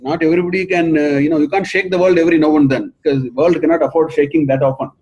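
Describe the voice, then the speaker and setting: Husky Indian man giving a lecture. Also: on the high side, steady tone, rapid-fire, a little mellow, dull audio. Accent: Indian English. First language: not English. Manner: earnest, repeats points for emphasis. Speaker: professor giving a research talk.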